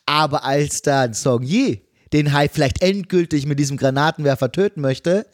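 A man's voice: fairly high pitch, normal speed, dry recording, clean studio sound. A man with a German accent chatting casually about a film as a podcast guest.

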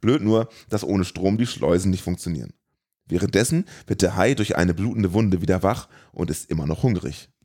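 A man's voice: low-pitched, moderate pace, a little mellow. A man reading out a scripted, comedic plot recap of a film.

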